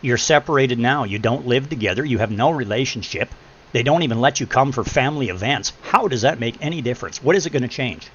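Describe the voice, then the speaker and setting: A deep-voiced middle-aged man giving blunt, preachy advice in a casual chat, swearing freely. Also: animated, fast. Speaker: podcast host. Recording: muffled lo-fi with faint hum.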